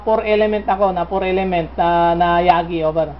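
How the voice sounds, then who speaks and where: A man with a Filipino accent chatting casually as an amateur radio operator, halting and using radio jargon. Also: high-pitched, fairly even intonation. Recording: dull audio with faint noise.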